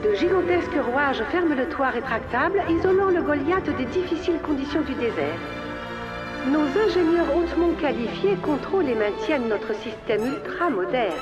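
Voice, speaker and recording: An actress playing a formal, polished cartoon tour-guide announcer, heard in lo-fi sound over music. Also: female voice, high-pitched, animated, normal speed. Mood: polished, courteous. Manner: formal, informative. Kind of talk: scripted announcement in a cartoon dub.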